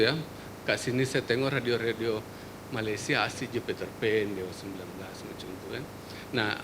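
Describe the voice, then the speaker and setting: Indonesian man presenting in a hall, bright tense voice, easygoing and halting, faint rumble behind. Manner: relaxed, joking, many hesitations. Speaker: forum speaker, media researcher. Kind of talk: conference presentation. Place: lecture hall.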